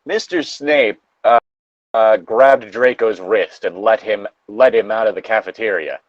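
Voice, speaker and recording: A man's voice: on the low side, measured pace, dry recording. A man reading fan fiction aloud with casual commentary and wry, joking asides, recorded close on slightly lo-fi audio. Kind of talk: casual comedic commentary and read-along.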